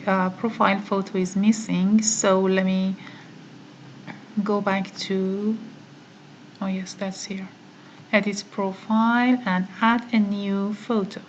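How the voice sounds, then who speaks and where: A woman whose first language is Farsi walks through a software tutorial at an unhurried, deliberate pace, pausing often on "uh". Her voice comes through a somewhat dull computer microphone with a faint hiss behind it. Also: mid-range voice. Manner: slow, methodical, with frequent "uh" pauses. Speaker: online course instructor. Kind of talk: screen-recorded software tutorial.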